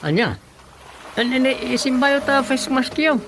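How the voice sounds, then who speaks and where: A man's voice: very high voice, animated, measured pace, heavy background noise. An actor playing a practical, inquisitive village councilman in a conversational comedic radio drama, with an Ilocano accent and music behind him. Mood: practical, concerned.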